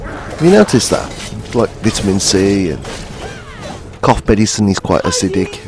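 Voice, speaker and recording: Gruff, hoarse older man with a cold. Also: on the low side, wildly swooping pitch, moderate pace. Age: 50s. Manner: chatty, self-mocking. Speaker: gaming channel host. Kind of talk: casual let's-play commentary. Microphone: headphones. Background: game music.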